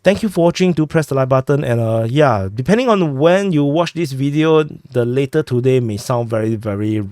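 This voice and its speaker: A man who is sick, hosting a war update and walking through a map, talking haltingly with many fillers and restarts on a close mic. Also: medium pitch, expressive, darkish tone, dry recording. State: sick, clearing throat. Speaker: war news host.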